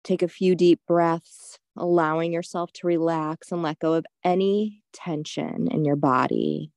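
Woman, meditation guide, very slow pace, soft mellow tone, soothing gentle instruction. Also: sits low, fairly even intonation, creaky, no room sound.

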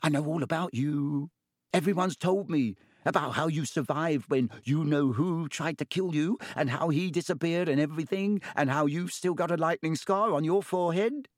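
Man voicing a breathless young schoolboy, high and stammering, gushing fan, dry close sound. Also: normal speed, dry recording, close to an everyday voice. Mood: eager. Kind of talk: audiobook character voice.

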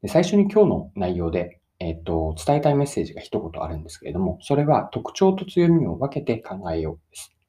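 Man, lowish pitch, animated intonation, methodical explainer, emphasis on key words, addressing listeners. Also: fast. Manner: methodical, stresses key words and recaps points. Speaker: presenter giving career self-development advice. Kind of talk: explanatory solo talk, addressing listeners directly.

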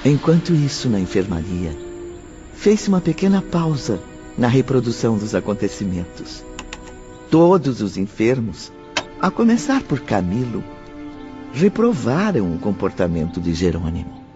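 A man's voice: medium pitch, wildly swooping pitch, measured pace, highs cut off, over music. A man narrating a radio drama from a script.

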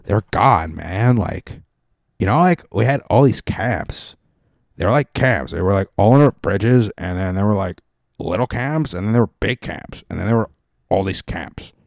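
A man puts on a rambling Californian guy calling in to a podcast. He meanders from point to point with endless "like" fillers, in a low, mellow voice. The sound is dry and close. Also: lively intonation, moderate pace, creaky, no echo. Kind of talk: comic podcast bit.

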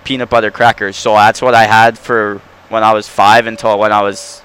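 A man's voice: fairly low pitch, level tone, moderate pace, fairly bright tone, smooth, rough recording. Young man with a Long Island accent. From Long Island, New York. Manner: rambling, many restarts and fillers. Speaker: hockey player guest. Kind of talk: casual interview answers.

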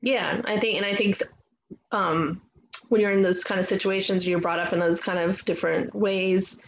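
A woman's voice: medium pitch, fairly even intonation, normal speed, lo-fi sound. A woman hosting an interview show in a casual, conversational style, recorded in a roomy space with background noise.

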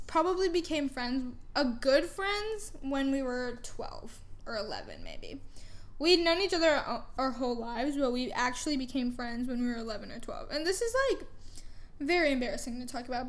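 A teenage girl hosts a casual podcast conversation. Her voice sits high, and she rambles through her stories in a chatty way, laughing now and then. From Canada. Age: teens. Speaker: podcast host. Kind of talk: casual chat.

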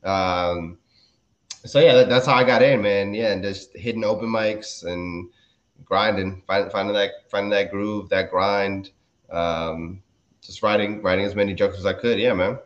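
A man's voice: on the low side, steady tone, unhurried, lo-fi sound. A man in his thirties from the DC area, a guest comedian chatting casually on a podcast, laid-back and rambling with many fillers and restarts. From DMV area, US. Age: 30s.

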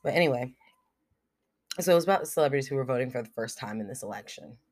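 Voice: medium pitch, lively intonation, quick pace. A young podcast host with a cold and a cough drop in the mouth, talking frankly in a casual solo monologue with plenty of fillers and swearing. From United States. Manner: frank and blunt, swears freely, many fillers like "like" and "um".

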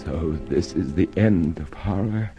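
An actor playing a broken, tormented prisoner in a radio drama, halting and anguished, desperate but still defiant. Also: male voice, low voice, mellow, dull audio, soft background music. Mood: dread. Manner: halting, anguished, repeating words. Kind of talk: mid-century radio drama acting.

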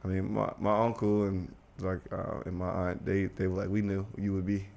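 Deep, flat-voiced man telling his story. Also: moderate pace, creaky, highs cut off. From United States, Washington DC area. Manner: rambling, many restarts and asides. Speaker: guest. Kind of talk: casual conversation.

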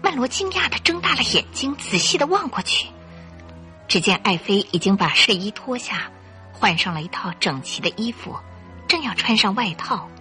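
A woman narrating a scripted radio drama in an expressive, suspenseful storytelling style. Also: fairly high pitch, normal speed, soft background music.

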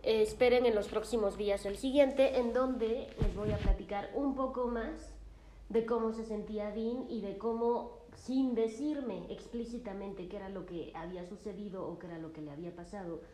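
A calm, reflective woman narrates a story from a therapy session for her podcast. Her voice is mellow and warm. The recording has a noticeable roomy echo. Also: mid-range voice, moderate pace, a little hiss or hum.

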